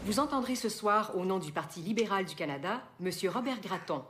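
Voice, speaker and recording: An actress playing a television announcer giving a formal broadcast announcement. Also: female voice, medium pitch, animated, brisk, lightly acted. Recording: loud music behind.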